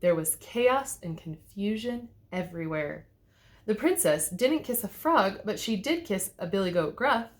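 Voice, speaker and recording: A woman narrates a children's fairy tale in an expressive storytelling manner. Her mid-pitched voice rises and falls a great deal. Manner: expressive, clearly paced storytelling. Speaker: teacher reading to second graders. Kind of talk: storybook read-aloud narration.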